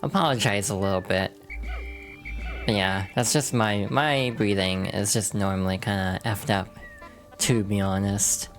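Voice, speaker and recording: A VTuber streamer with a hoarse, froggy voice from allergies, chatting casually while playing a game and laughing at their own slips. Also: sits low, steady tone, unhurried, faint music behind. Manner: chatty and self-deprecating, many fillers, laughs through words.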